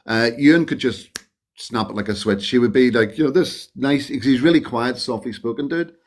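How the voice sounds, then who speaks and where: A man's voice: medium pitch, normal speed. A man from the United Kingdom telling anecdotes as an interview guest in a casual, colloquial, blokey, admiring way. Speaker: interview guest, film extra.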